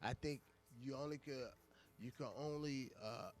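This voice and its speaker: A man's voice: medium pitch, normal speed. An American man co-hosting a radio show in casual, informal, slangy conversation. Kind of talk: casual chat.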